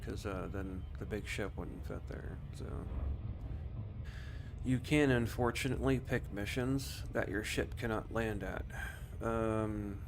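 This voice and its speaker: A man giving casual gameplay commentary as a streamer, explaining things in a rambling way. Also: on the low side. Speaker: game streamer. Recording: clear steady background noise.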